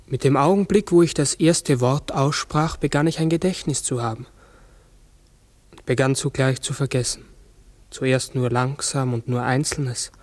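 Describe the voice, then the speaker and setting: An actor playing a large talking raven in a 1960s radio drama, brooding, uncertain and often correcting himself. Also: male voice, mid-range voice, steady tone, a little mellow, dry recording, close to an everyday voice. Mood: searching, melancholy. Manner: halting, brooding, self-correcting. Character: human-sized talking raven, pensive, uncertain, forgetful.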